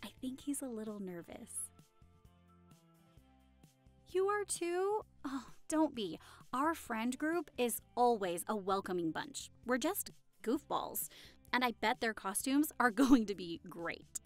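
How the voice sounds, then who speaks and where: Woman acting a high-voiced, cheerful young party hostess. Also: sing-song, a little slow, soft background music. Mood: chatty, reassuring. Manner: cheerful, chatty, reassuring. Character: friendly, affectionate young woman hosting a Halloween costume party. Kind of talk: audio roleplay acting, addressing the listener.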